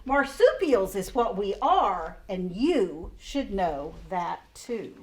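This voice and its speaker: A woman voicing a grumpy male koala who is fed up with being called a bear, read aloud from a picture book in slow, indignant rhymes. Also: medium pitch, sing-song, voice pushed down. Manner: indignant, slow, loud rhyming delivery.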